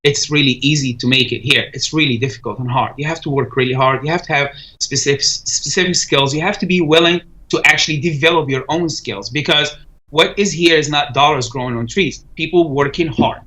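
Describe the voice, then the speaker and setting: Bright-voiced Egyptian man as a guest. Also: a little high. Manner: earnest, halting, many fillers. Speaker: studio guest. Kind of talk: interview answers.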